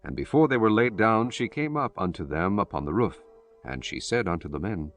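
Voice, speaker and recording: A man's voice: lowish voice, animated, brisk, soft background music. A man giving solemn, formal scripted narration of scripture as the narrator.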